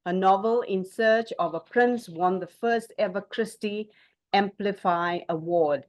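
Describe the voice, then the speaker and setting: A woman hosting a panel in an informal way, often halting as she searches for words. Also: medium pitch, a little mellow. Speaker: panel moderator.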